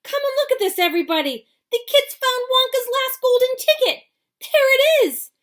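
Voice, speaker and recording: A woman voicing a fat male shopkeeper in a storybook reading, who goes from pleasant to wildly excited and yelling. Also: mid-range voice, normal speed. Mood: frantic. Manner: shrieking, yelling when excited.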